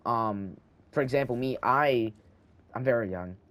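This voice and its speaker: A man's voice: mid-range voice, a little slow, fairly bright tone. Rambling teenage boy gamer. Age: teens. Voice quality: slight lisp. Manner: meandering, thinking aloud, frequent restarts. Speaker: gaming channel host. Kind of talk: rambling casual talk over gameplay. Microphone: handheld microphone.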